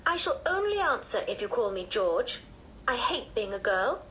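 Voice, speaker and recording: A woman's voice: on the high side, very animated, normal speed, a bit bright, a little hiss or hum, pitched above the performer's own voice. Woman playing a blunt, raised-voice sulky tomboy. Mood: defiant. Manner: emphatic, blunt. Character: defiant tomboy girl George, sulky, blunt, proud.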